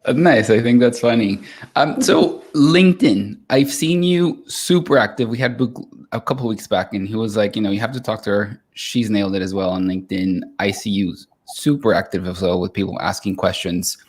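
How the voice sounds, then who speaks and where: Upbeat low-voiced male host. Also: moderate pace, darkish tone, breathy edge. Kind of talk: live stream interview. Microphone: video call.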